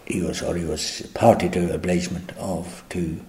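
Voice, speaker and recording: An older man with an Irish accent, answering interview questions in a halting, reflective way with many restarts. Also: sits low, flat delivery, a little mellow. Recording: echoey room.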